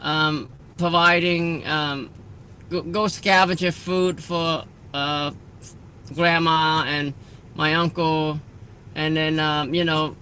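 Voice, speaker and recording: High bright-voiced man. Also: fairly even intonation, a little hiss or hum. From Cambodia. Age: 50s. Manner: halting, many filler sounds and restarts. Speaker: guest. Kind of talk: phone-in interview.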